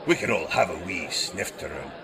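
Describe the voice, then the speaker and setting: Gravelly old Scottish rogue. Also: male voice, low-pitched, animated, moderate pace, pushed and bright, exaggerated voice. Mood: flirtatious. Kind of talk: audio drama acting. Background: music and tavern crowd.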